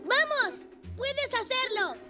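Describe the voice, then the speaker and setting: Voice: very high voice, animated, slow pace, heavily acted. An actor voicing an eager, kind young boy rescue motorcycle in a children's cartoon, with an earnest, encouraging way of talking. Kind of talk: animated children's series dub. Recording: music behind the voice.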